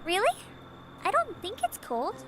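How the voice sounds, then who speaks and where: Very high, innocent young girl. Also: huge pitch swings, unhurried, heavily acted. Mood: curious. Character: innocent, indignant young girl, puzzled niece. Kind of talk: anime-style game voice acting.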